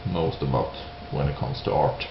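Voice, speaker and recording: A man from Sweden with a Swedish accent, giving an unscripted opinion monologue in halting English, hesitant and searching for words. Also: a bass voice, fairly even intonation. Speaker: video creator giving opinions. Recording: roomy, dull lo-fi sound with hiss.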